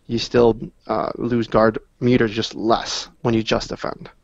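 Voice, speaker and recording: A man's voice: lowish voice, level tone, unhurried, no echo, highs cut off. A man giving casual, unscripted analysis as a guest player in a gaming discussion, explaining points in a loose, conversational way.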